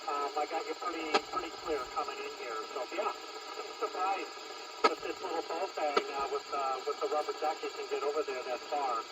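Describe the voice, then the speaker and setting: A man chatting casually and a little haltingly over two-way radio as an amateur operator. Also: on the high side, steady tone. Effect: heard over two-way radio. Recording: distant, noisy handheld radio transmission.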